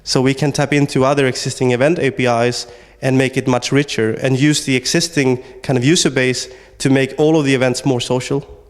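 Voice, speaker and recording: A man's voice: mid-range voice, fairly even intonation, a little fast, in a large hall. A man from Sweden, a startup founder, answering interview questions hesitantly with many fillers and restarts.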